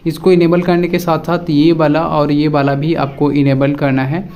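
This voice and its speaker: Higher-pitched man giving a tutorial. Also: little pitch movement, normal speed, darkish tone, dull audio. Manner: repetitive, instructional, addresses viewers directly. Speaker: tutorial presenter. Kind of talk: casual step-by-step phone app tutorial.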